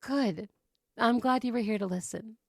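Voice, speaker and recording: Woman playing sweet young friend, slightly high. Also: normal speed, vocal fry, husky, no room sound, clean studio sound. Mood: welcoming. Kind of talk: ASMR roleplay.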